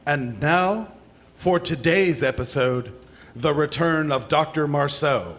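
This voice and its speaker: Man as a high-pitched serial announcer over loud music. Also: slow. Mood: solemn, inviting. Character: grand old-time serial announcer. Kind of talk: vintage radio serial announcing.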